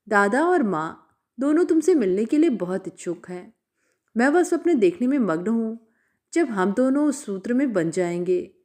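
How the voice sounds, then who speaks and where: A woman's voice: fairly high pitch, a little mellow. A woman reading a letter as a loving, anxious young woman with a tender, pleading manner.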